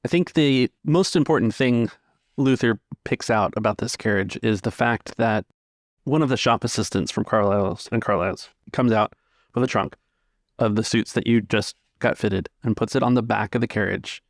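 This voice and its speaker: Low-voiced man with a gravelly edge. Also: normal speed, no echo, studio quality. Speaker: game master. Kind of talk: narrating a tabletop roleplaying game.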